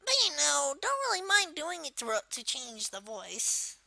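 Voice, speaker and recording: Woman doing a very high, creaky cartoon turtle villain. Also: big pitch swings, a little slow, bright, forceful tone, no echo, heavily acted. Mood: explaining, casual. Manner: hesitant, informal, rambling delivery. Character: young male cartoon turtle villain impression. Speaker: tutorial presenter. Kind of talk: unscripted screen-recording software tutorial.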